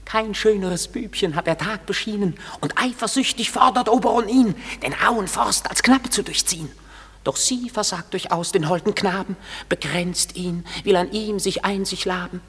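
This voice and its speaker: A mischievous goblin prankster in a classic radio play. The voice is husky and theatrical, swinging gleefully through verse. It rings in a long reverb. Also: female voice, medium pitch, moderate pace. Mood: conspiratorial. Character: mischievous goblin prankster, servant of the fairy king.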